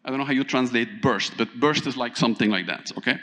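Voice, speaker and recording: A man from Thailand giving a conference keynote, speaking in an animated way, often turning to the audience with questions. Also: mid-range voice, fast. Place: large conference hall. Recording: long hall reverb.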